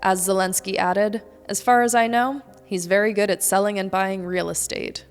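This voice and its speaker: A woman's voice: medium pitch, fairly even intonation, moderate pace, smooth, faint music behind. American woman presenting news in a reverberant space. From United States. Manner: pointed, sardonic commentary. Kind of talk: scripted news narration.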